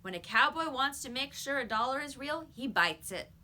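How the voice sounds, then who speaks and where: A woman voicing an excitable, insistent schoolgirl in a children's storybook, with emphatic, pushy delivery. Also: sits high, moderate pace, pitched above the performer's own voice.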